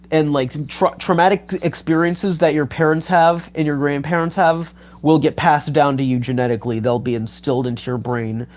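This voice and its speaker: A man of about twenty on a livestream, rambling and halting with lots of fillers and swearing as he reads his chat. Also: medium pitch, darkish tone. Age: 20s. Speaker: YouTuber reading live chat. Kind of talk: rambling casual livestream talk.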